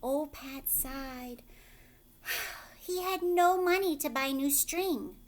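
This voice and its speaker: A woman's voice: high voice, very slow, a little hiss or hum. A woman teacher reading a storybook aloud expressively and explaining it to young listeners as she goes.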